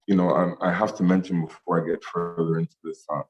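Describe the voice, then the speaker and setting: Deep-voiced Jamaican-born potter, halting speech, frequent restarts in an interview. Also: male voice, little pitch movement, dull audio. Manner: halting, many fillers and restarts. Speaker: ceramic artist. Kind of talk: online meetup interview.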